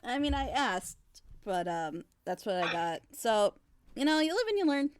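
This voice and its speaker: A woman's voice: fairly high pitch, sing-song, normal speed, clear, resonant tone, no echo. A woman hosting a casual group commentary chat, speaking in a hedging, self-critical way. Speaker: host of a group reaction discussion. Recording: noisy mic with clicks.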